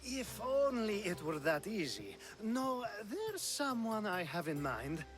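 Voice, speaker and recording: A man's voice: high voice, wildly swooping pitch, slow pace, over music, full character voice. An actor playing a sly, charming ancient Greek nobleman in a video game, teasing and theatrical. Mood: smooth, scheming. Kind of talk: video game cutscene acting.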